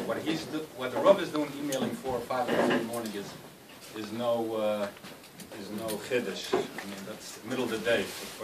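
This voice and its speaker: A man with a cold giving a rambling, self-deprecating farewell speech, full of halting restarts. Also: fairly low pitch. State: has a cold. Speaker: speaker at a farewell party. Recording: roomy hall, distant mic, noisy.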